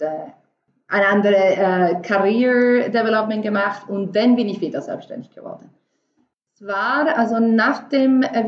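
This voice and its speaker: Venezuelan-accented woman in a muffled, echoey room. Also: mid-range voice. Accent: Venezuelan Spanish. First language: Spanish. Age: middle-aged. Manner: halting non-native speech with English business words. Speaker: interview guest, marketer. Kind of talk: casual personal storytelling.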